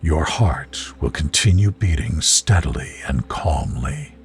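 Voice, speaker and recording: A man reading a scripted guided sleep visualization in a soothing, gentle, measured way, as a narrator of bedtime relaxation stories. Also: a bass voice, animated. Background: music behind the voice.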